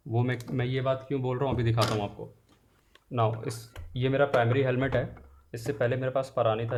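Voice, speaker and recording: A man's voice: medium pitch, a little mellow. A man with an Indian accent talking casually as a vlogger, explaining things step by step and mixing Hindi with English phrases. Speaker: vlogger reviewing gear. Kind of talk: casual unboxing and installation walkthrough.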